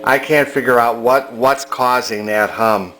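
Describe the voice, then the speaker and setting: An older man giving a casual repair demonstration as a hobbyist, halting as he thinks aloud. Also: mid-range voice, steady tone, a bit bright, slight background noise, low-quality recording. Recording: background music from vintage radio and turntable.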